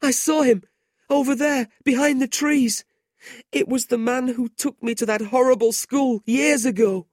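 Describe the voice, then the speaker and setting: Man putting on a frail, high-voiced dying boy. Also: unhurried, pauses often, husky, dry recording, clean studio sound. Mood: frightened pleading. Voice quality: high, pleading, soft. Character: frail dying young boy, fearful then tender.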